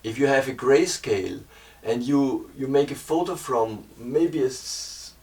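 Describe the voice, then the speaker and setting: German man explaining his photo workflow in careful, halting English, mid-pitched, recorded from a little way off the mic. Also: a little mellow, recorded from a distance. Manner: hesitant, searching for English words. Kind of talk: casual explanation to camera.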